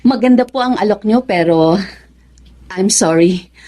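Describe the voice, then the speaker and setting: A woman's voice: mid-range voice, measured pace, dark tone, natural voice. An actress playing a principled, steadfast woman broadcaster in a Filipino radio drama, speaking earnestly and firmly.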